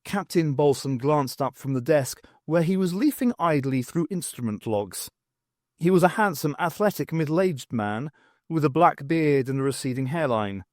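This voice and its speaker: A man's voice: fairly high pitch, lively intonation, normal speed, darkish tone, no room sound, studio quality. A man narrating a science fiction audiobook in a scripted, storytelling style.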